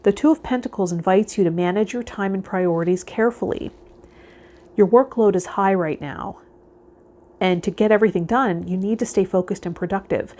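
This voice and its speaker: A woman hosting a tarot vlog, speaking in a measured, instructive way straight to the listener. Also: mid-range voice, mellow. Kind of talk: reading tarot card meanings aloud, then casual guided talk to viewers.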